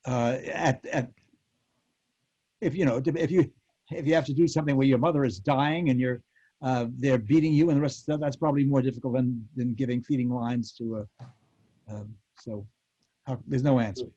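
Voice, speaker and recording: A man's voice: fairly even intonation, normal speed, dark tone, husky. An older man, a former radio actor, answering interview questions off the cuff in a hesitant way, repeating and restarting his phrases. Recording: dull, roomy low-fidelity audio.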